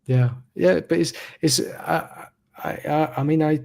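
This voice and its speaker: Gravelly, slow-spoken man. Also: a little high. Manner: slow, even, reflective delivery. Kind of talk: casual interview conversation. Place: remote video call. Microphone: video call mic.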